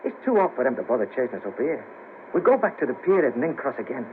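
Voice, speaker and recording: A man's voice: medium pitch, very animated, fast, close to an everyday voice. An actor playing a wry, friendly, down-on-his-luck ex band manager in a 1970s radio play, teasing and fond of sayings. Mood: friendly, tentative. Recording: noisy patchy 1970s radio cassette, narrow band.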